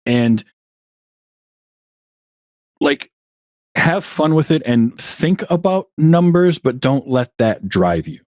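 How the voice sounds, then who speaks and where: A man guesting on a podcast, chatting in a relaxed way with plenty of fillers. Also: medium pitch, slow, long pauses, no echo, studio quality. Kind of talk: casual interview chat.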